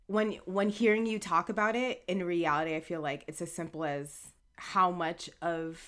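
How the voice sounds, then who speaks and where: A woman's voice: lowish voice, a bit bright. A young woman co-hosting a podcast, talking in a reflective, agreeable casual chat. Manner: reflective, agreeable, quick to laugh. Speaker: podcast co-host.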